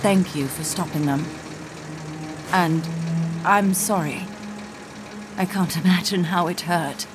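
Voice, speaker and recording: An actress playing an earnest, gentle woman scientist in a video game, speaking with sincerity. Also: lowish voice, slow, long pauses, heavy background noise. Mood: tender, earnest. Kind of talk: dramatic video game cutscene acting. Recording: loud music under the voice.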